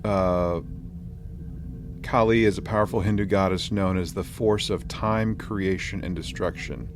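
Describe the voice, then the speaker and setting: A man's voice: low voice, steady tone, unhurried. A man co-hosting a podcast in a casual, laid-back chat. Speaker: podcast co-host. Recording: clear background noise throughout.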